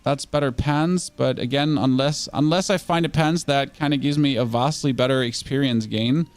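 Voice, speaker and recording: A German man chatting excitably and casually while streaming a video game, often stumbling and restarting his words. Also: medium pitch, moderate pace, clear, resonant tone. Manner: chatty, excitable, self-correcting. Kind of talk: casual gameplay commentary.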